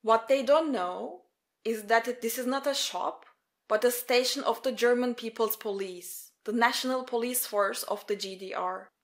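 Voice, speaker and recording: A woman's voice: a little high, normal speed. A woman reading scripted narration as a storyteller.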